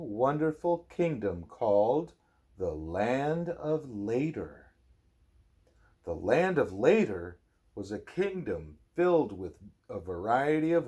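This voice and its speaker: A man reading a storybook aloud to a child as a parent, expressively and with drawn-out phrases. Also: mid-range voice, dark tone.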